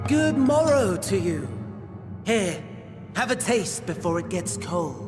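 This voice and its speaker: High-voiced theatrical gleeful villain. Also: male voice, very animated, over music, heavily acted. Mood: mocking courtesy. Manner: mannered, sardonic, drawn-out courtly delivery. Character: theatrical, gleeful, mocking male villain playing courteous host. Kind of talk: video game cutscene acting.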